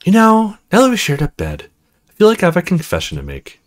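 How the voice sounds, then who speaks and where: A man voicing a cool, confiding married female neighbor from a visual novel, reading her dialogue expressively and in measured phrases. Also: high voice, wildly swooping pitch, pitched above the performer's own voice.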